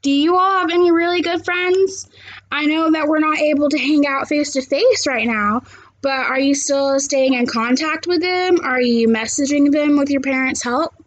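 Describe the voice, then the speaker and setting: High-pitched young woman reading to children. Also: moderate pace. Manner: simple, direct address with questions to young listeners. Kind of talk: read-aloud of a children's novel with chat to viewers. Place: small living room, seated on a couch indoors.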